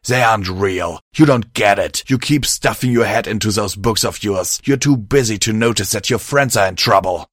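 Man as a bitter, low-voiced stallion, curt. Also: a little slow, fairly bright tone, dry recording, studio quality. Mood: angry. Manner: curt, sweary, confrontational. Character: bitter, desperate, stubborn male unicorn pony.

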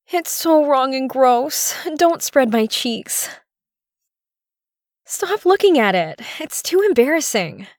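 Slow-talking, coy girlfriend played by a woman. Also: low-pitched, darkish tone, no echo, clean studio sound.

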